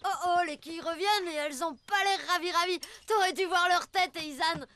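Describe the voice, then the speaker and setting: A woman's voice: very high-pitched, a little fast, pushed and bright. A woman voicing a cheeky, lazy schoolboy ninja in a cartoon, slangy and mocking. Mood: amused teasing. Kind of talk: French cartoon dubbing.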